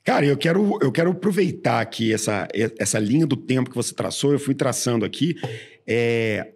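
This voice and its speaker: A man's voice: medium pitch, lively intonation, darkish tone, slightly rough. A Brazilian man hosting a podcast, enthusiastic and friendly as he introduces and questions his guest. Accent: Brazilian Portuguese. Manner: friendly, enthusiastic, drawn-out emphasis on intro phrases. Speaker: podcast host. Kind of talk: casual podcast interview.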